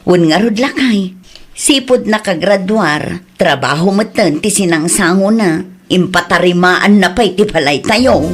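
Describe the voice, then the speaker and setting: A woman's voice: fairly low pitch, animated, unhurried. Ilocano aunt, concerned and homely acted by a woman. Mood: matter-of-fact. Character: older rural Ilocano wife and aunt, concerned. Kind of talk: amateur audio drama acting, conversational.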